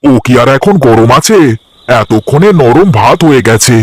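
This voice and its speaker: A man voicing an eager, defensive village vegetable seller in a cartoon, chatty and folksy with drawn-out hesitations. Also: medium pitch, lively intonation, no echo, lightly acted. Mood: casual, homely. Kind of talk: cartoon voice acting.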